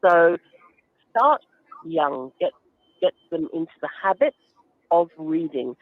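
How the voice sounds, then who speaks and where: A woman's voice: deep voice, sing-song, takes it slowly, dry recording, narrow, tinny audio. A woman giving explanatory interview answers as a guest, instructive and emphatic, with everyday examples. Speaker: guest author and reading advocate.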